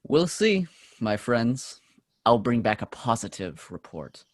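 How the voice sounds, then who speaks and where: A man's voice: medium pitch, sing-song, slow, no echo. Guy doing a mocking soldier in a joan of arc era play, sneaky and scornful, close dry mic. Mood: scheming bravado. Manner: mocking, theatrical. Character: scornful, sly male medieval French soldier, doubting and scheming. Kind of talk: stage play table read.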